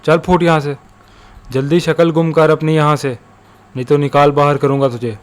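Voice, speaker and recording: A man plays a stingy eatery owner in a cartoon fable. He is gruff, bossy and dismissive, with a fairly high-set male voice. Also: level tone, normal speed, lightly acted. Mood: angry, dismissive. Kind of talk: animated Hindi moral story acting.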